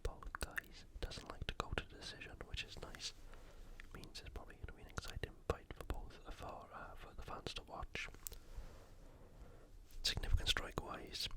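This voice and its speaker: Whispering ASMR sports commentator. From United Kingdom. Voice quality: breathy, unvoiced whisper. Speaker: host of ASMR fight preview videos.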